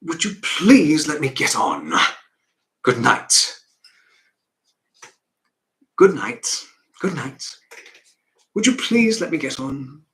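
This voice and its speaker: A man's voice: on the high side, very animated, normal speed, bright, forceful tone. A man rehearsing stage dialogue as a stern, dismissive upper-class father, pompous and brusque.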